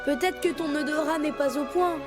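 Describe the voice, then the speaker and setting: Woman as a very high-pitched young cartoon cub. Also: normal speed, music in the background, close to an everyday voice. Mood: amused, friendly. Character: earnest young leopard cub, friendly and playful. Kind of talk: French cartoon dub acting.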